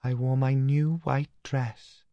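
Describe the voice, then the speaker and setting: Man as a high, dreamy Welsh woman ghost. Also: level tone, takes it slowly, no room sound, dull audio. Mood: wistfully proud.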